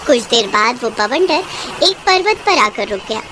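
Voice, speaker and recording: A woman tells a children's moral story as a scripted narration. Her voice is high and swings expressively from phrase to phrase. There is some noise behind the recording. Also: lively intonation, normal speed.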